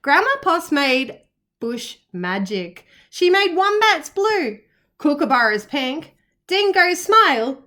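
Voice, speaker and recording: Female children's story reader, bright and forward, very lively intonation, expressive delivery for small kids. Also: high voice. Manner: expressive, with playful asides to young listeners. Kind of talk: animated picture-book reading for young children.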